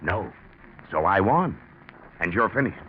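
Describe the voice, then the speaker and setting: An actor playing a big, bluff, ruthless business partner with a gloating, taunting manner in a 1940s radio drama. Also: male voice, deep voice, sing-song, measured pace. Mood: scornful. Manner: gloating, taunting, hard-headed. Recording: dull, noisy 1940s radio recording.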